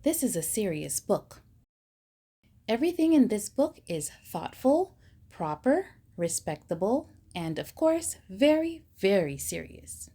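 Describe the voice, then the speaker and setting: A woman reads a children's picture book aloud at an unhurried pace. Her breathy voice swings widely up and down as she puts mock-stern weight on the words. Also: mid-range voice. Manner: slow, expressive reading with mock-stern emphasis. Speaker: storybook reader.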